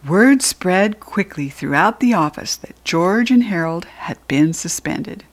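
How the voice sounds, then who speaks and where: A woman's voice: fairly low pitch, lively intonation, unhurried. American woman reading a children's story. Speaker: children's book reader. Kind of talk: animated read-aloud storytelling.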